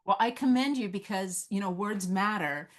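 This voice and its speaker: A woman's voice: mid-range voice, normal speed. A woman moderating a script-reading Q&A in a conversational, reflective and encouraging way, passing on audience comments.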